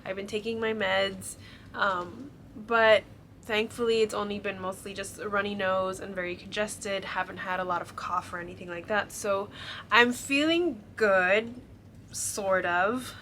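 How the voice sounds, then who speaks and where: Young woman, stuffy congested voice, chatty haul talk, bright tone, faint room noise. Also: mid-range voice, fairly even intonation, unhurried. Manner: chatty, lots of ums and likes. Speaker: vlogger showing a shopping haul. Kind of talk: casual chat to camera.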